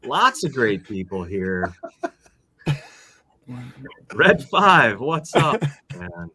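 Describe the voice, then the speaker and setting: A man's voice: mid-range voice, sing-song, extremely slow, sharp tone, slightly rough. A man hosting a casual livestream over a video call, friendly and rambling as he greets guests and reads out names. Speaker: host.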